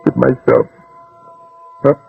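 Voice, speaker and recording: An actor playing a desperate, wavering gunman still sweet on his old girlfriend, in a 1960s radio drama, with a gravelly, raspy voice. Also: phone-quality sound, lightly acted. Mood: subdued, yielding. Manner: tense, wavering, halting. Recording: old band-limited radio recording, music underneath.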